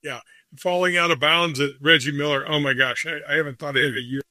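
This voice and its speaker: A friendly man in his sixties hosts a relaxed chat, his questions wandering with plenty of restarts. His tone is bright and forceful, and a little high for a man. The sound is dry, with no reverb. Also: fast talker. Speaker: host. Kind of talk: casual interview chat.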